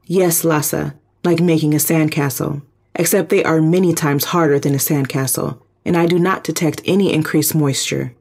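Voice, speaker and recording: An actor playing a calm spaceship computer in a sci-fi audio drama, giving formal, precise reports. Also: medium pitch, normal speed, vocal fry, few pauses, slightly rough. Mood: neutral, precise.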